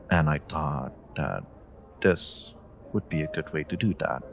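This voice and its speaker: A man's voice: low voice, gravelly, heavily acted. A man playing a suave, flirty stranger in a romantic audio roleplay, teasing and coy as he speaks directly to the listener. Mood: sincere, soft. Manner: teasing, coy, unhurried, murmuring endearments. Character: suave flirty male stranger, playful and confidently charming. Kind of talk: romantic audio roleplay, one-sided dialogue addressed to the listener.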